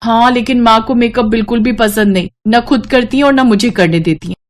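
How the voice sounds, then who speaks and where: A woman voicing an eager, wide-eyed teenage girl with an excitable, chirpy manner. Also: a little high, a little fast, lightly acted. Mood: confiding.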